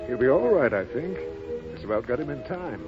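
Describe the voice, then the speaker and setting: An actor playing a calm, businesslike doctor in 1930s radio drama style. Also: male voice, medium pitch, brisk, music in the background, close to an everyday voice. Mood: calm, reassuring. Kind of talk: 1930s American radio acting. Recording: muffled lo-fi 1930s radio broadcast.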